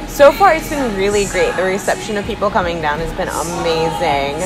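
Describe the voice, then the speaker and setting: A woman's voice: fairly low pitch, brisk, rough recording. A young woman from Cincinnati, a shop clerk, talking enthusiastically about a market in a casual interview. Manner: chatty, enthusiastic, laughs lightly. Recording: music under the voice.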